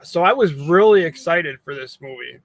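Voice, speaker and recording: A man's voice: on the high side, animated, moderate pace, poor audio. A man co-hosting a podcast, giving his movie opinions in informal, casual chat. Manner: informal, says "dude" and "man". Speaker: podcast co-host.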